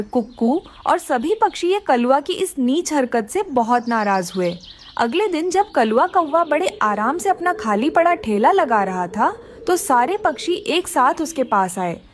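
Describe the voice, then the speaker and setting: Female narrator for a kids' cartoon fable, high lilting read over faint noise. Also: big pitch swings, measured pace. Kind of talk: scripted children's story narration.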